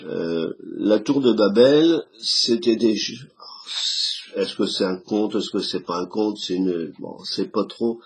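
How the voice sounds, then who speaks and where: A man with a hoarse, husky voice talks to his camera about a tarot spread. His speech is halting and full of fillers and restarts. His voice sits low. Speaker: tarot reader. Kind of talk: casual tarot reading commentary. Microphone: camera he set up himself.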